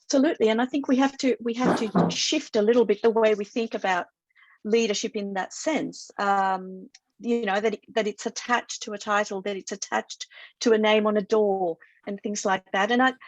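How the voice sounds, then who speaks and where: A woman speaks on a video call as a guest researcher, earnest and reflective. She often doubles words while working out her thoughts. Her voice is mid-pitched and sits close and dry, with no room around it. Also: steady tone, moderate pace, dry recording, muffled recording. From Chile. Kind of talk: conference talk and chat on a video call.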